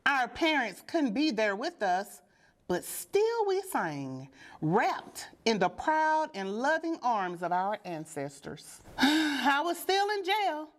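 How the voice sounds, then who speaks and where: A woman reading a children's storybook aloud with deliberate, dramatic and expressive phrasing. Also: sits high. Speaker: storybook reader.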